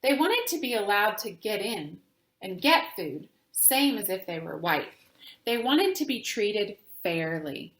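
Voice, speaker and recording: A patient storybook father , read by a woman, explains things earnestly and with gentle firmness. The delivery is unhurried and very inflected, in an echoey room. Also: mid-range voice, sing-song. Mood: gently firm.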